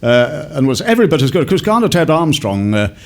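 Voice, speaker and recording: A man's voice: on the high side, very animated, brisk, creaky. A man in his sixties, a former radio DJ, reminiscing at a panel with hesitant, word-searching speech.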